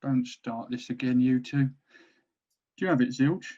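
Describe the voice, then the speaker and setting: A man's voice: mid-range voice, slow pace, dark tone, lightly acted. The audio is a little dull and boxed in. A man acts out a weary orc war band leader with a mid-range voice, rallying his crew in a comic fantasy read-through. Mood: urgent, pleading. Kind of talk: fantasy comedy table read.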